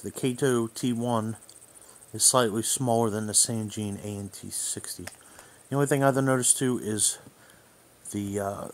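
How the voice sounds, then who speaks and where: A man's voice: fairly low pitch, fairly bright tone, a little hiss or hum. A man giving a casual, unscripted product overview in a hesitant, rambling way. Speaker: hobbyist video reviewer.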